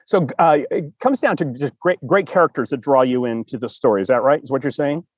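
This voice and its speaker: A man's voice: medium pitch, expressive, fairly quick, dry recording, clean studio sound. A man hosting a casual, unscripted interview, asking questions in an informal, rambling way. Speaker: talk show host.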